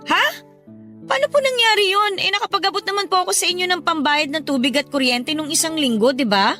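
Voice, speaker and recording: A young breadwinner daughter in a Tagalog radio drama. She is respectful and caring, with a clearly high, bright voice. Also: fairly quick, faint music behind. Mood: concerned.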